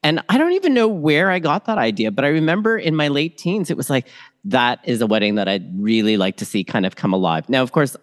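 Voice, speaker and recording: A man born in the Philippines and raised in Canada, co-hosting a podcast in a relaxed, musing way. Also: mid-range voice, a little fast. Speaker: podcast co-host. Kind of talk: casual chat.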